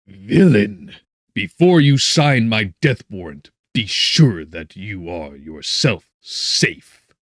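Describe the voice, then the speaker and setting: A man playing an anguished, defiant scientist confronting his creation in a forceful, declamatory audiobook performance. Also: fairly low pitch, lively intonation, slow pace, no room sound, studio quality, pitched above the performer's own voice. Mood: furious.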